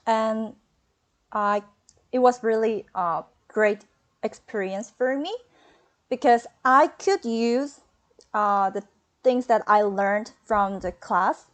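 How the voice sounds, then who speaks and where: A woman whose first language is Korean speaks English with a Korean accent. Her voice sits a little high, and her phrasing is halting, full of fillers as she hunts for words. She talks about her studies in an unscripted webinar interview. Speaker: former student.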